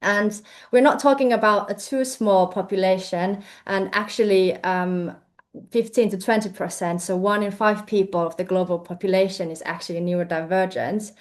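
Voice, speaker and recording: Young Finnish-accented woman, researcher presenting, halting delivery with many fillers, average pitch. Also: steady tone. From Finland. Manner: hesitant, many fillers and restarts. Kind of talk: presentation talk.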